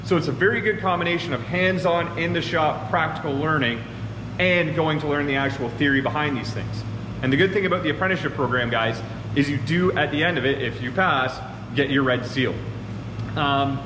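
A man's voice: fairly high pitch, brisk. A man in his thirties from Ontario, Canada, a machine shop owner giving earnest casual advice to camera from his shop floor. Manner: earnest, talks with his hands, addresses viewers as guys. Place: large open machine shop floor, indoors. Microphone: far camera on a tripod. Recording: roomy echoey shop with long reverb and air conditioning hum.

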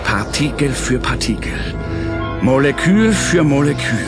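A man's voice: mid-range voice, animated, a little slow. A man narrating a scripted audio drama as its storyteller. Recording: clear music under narration.

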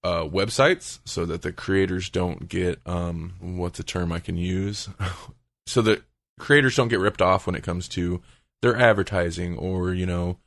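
A man's voice: low voice, measured pace, creaky, a bit bright, no echo, clean studio sound. A man hosting a podcast, chatting casually and feeling out his words as he goes. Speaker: podcast host.

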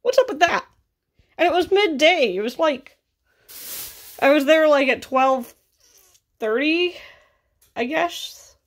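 A woman in her thirties with a scratchy, raspy voice and vocal fry, chatting casually through a grocery haul in her kitchen. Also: high voice, expressive, slow pace, long pauses. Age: 30s. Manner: chatty and offhand, rising into exclaimed asides. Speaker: vlogger. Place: home kitchen, indoors.

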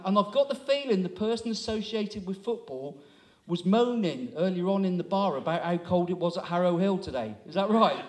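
A man's voice: high-pitched, darkish tone, a little hiss or hum. A man in his sixties working as compere, teasing the audience in a chatty stand-up crowd-work style on a small town hall stage. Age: 60s. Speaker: comedy compere. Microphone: handheld microphone.

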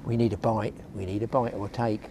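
A man's voice: lowish voice, level tone, fast, gravelly. A man in his sixties, a fishing vlogger chatting to a close-held camera on an open shingle beach, rambling and grumbling with dry humour. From England. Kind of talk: casual chat to camera. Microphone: action camera held close. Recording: outdoor beach noise behind.

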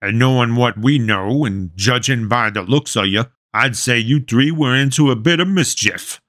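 A man's voice: mid-range voice, normal speed, fairly bright tone, dry recording, studio quality, lightly acted. A man voicing a big, folksy dad who jokes a lot. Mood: joking. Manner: folksy, joking. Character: big, jokey country dad.

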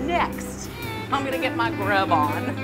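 A woman's voice: mid-range voice, lively intonation, a little slow, loud music behind the voice. A middle-aged woman hosting a food show, chatting enthusiastically in a busy restaurant kitchen. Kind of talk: casual chat in a kitchen.

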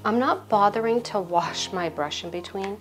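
A woman's voice: medium pitch, moderate pace, soft background music. A woman in her thirties hosting a home DIY tutorial at home, chatty and self-deprecating with playful asides, on a lapel mic. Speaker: home decor YouTuber. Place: home interior, hallway and craft table.

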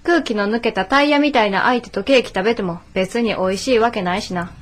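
An actress playing a brisk, nagging daughter in her twenties, blunt and scolding with a Kansai accent, in a radio drama. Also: on the high side, lightly acted. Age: 20s. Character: brisk, nagging 24-year-old daughter of a shopkeeper.

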